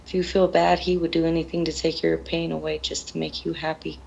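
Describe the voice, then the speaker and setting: Grieving mother, interviewee. Deep female voice with fry, halting plain speech. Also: little pitch movement, a little mellow, muffled recording, slight background noise. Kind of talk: emotional television interview.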